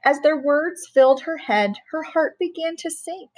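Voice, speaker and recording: Woman, middle-aged, high-pitched, reads rhyming picture book gently and rhythmically. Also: animated, a little slow. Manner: gentle, sing-song rhyming reading. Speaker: teacher reading a bedtime story. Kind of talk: storybook read-aloud.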